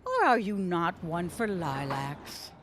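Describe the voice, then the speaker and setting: An actress playing a scornful, righteous shopkeeper with a biting, sarcastic manner in a period audio drama. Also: female voice, lowish voice, big pitch swings, slow, a bit bright, a little hiss or hum, full character voice. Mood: cutting sarcasm. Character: scornful, righteous married shopkeeper woman, bitterly outspoken.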